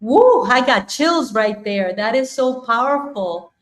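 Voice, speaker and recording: A woman's voice: fairly high pitch, expressive, takes it slowly, roomy sound, soft background music. A woman hosting an interview podcast, reacting in an effusive, encouraging way and repeating "right?" and "I love that". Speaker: podcast host, business coach. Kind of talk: casual interview conversation.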